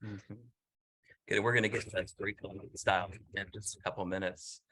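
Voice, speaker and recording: A man's voice: sits low, flat delivery, fast talker, bright, forceful tone, rough recording. A man interviewing a fellow scholar, asking questions conversationally and responding with affirming, enthusiastic asides. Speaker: interviewer, professor.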